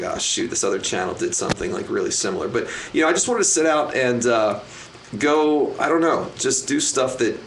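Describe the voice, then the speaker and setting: A man, a magician and guest, chatting casually in an interview. He talks loudly, with many restarts and fillers. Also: mid-range voice. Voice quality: loud, rambling with many restarts. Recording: faint noise, live stream.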